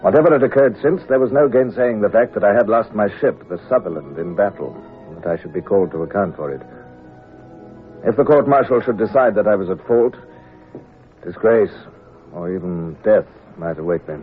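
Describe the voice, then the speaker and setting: An actor playing a naval captain who narrates his own story in a measured, somber, reflective way, in an old radio drama. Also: lowish voice, animated, soft background music, lightly acted. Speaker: narrator and lead character. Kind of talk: 1950s-style radio drama. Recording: tinny, hissy old radio broadcast.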